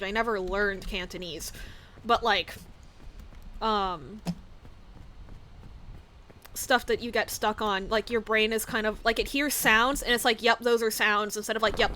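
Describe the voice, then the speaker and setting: A woman's voice: fairly high pitch, fairly bright tone, slight background noise. A woman streaming a game, rambling casually with many fillers and giggles, with a slight lisp. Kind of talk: casual chat while gaming.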